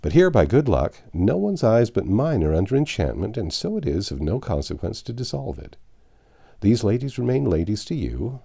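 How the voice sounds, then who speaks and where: Guy doing a confident wry yankee hero in a storybook, explains stuff smoothly, mellow, pitch all over. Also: very animated, moderate pace, exaggerated voice. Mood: patiently explaining. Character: confident, wry American man humoring a damsel.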